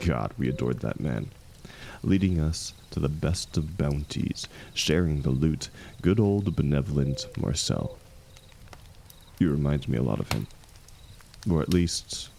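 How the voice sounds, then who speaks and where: A man playing a seasoned ex-mercenary warrior in an intimate fantasy audio drama, gruff but kindly, gently teasing and unhurried in his talk. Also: very deep voice, lively intonation, slight background noise, exaggerated voice. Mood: wistful.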